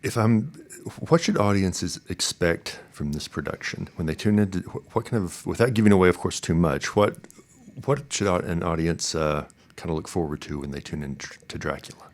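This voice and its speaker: A man hosting a conversational interview, feeling his way through questions in halting, thoughtful phrases. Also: deep voice. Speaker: podcast host, interviewer.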